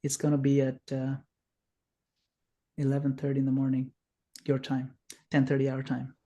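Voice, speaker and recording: Middle-aged man from Kenya, gravelly and earnest, on a video call, explaining patiently. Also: medium pitch, completely flat delivery, moderate pace, dark tone. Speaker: guest speaker. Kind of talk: informal spiritual talk.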